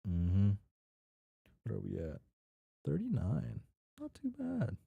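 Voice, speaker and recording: A man's voice: fairly low pitch, wildly swooping pitch, slow pace, gravelly, breathy edge, no echo, narrow, tinny audio. A man casually reading a story aloud with off-the-cuff commentary, tripping over and repeating words and swearing freely. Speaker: reader reacting to a fan fiction.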